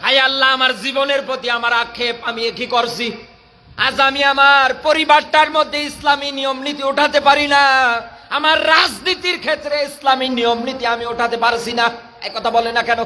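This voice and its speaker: A man's voice: very high voice, fairly even intonation, normal speed, pushed and bright. A Bangladeshi man preaching an impassioned Islamic sermon in a declamatory manner, prodding his listeners with questions. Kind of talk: fiery outdoor-rally sermon with Quran recitation. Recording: noisy live public-address recording.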